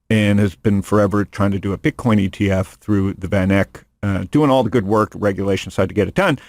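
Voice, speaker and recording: A man's voice: on the low side, no echo, studio quality. A man hosting a podcast in casual chat, rambling and joking, often restarting his sentences. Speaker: podcast host. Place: studio room.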